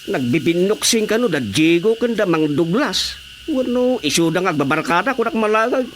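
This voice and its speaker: A man's voice: high voice, expressive, moderate pace, a bit bright, a little hiss or hum. A man with an Ilocano accent acting a village watchman who makes reports in a radio drama comedy. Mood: alarmed. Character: male village watchman excitedly reporting to his superiors.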